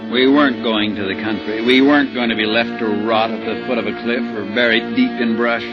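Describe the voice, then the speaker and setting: A man's voice: medium pitch, steady tone, a little fast, over loud music, lightly acted. An American man acting an anxious married father held hostage, in 1950s radio drama style. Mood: grim, tense narrating. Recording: muffled old radio recording.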